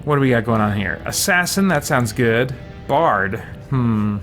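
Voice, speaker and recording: A man's voice: mid-range voice, expressive, unhurried, fairly bright tone. A man hosting a gaming stream, chatting casually and thinking aloud as he plays and answers viewers. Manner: thinking aloud, musing to himself. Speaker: host of a gaming let's play stream. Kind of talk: casual live gameplay commentary, answering viewer questions. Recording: game music behind the voice. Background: video game music.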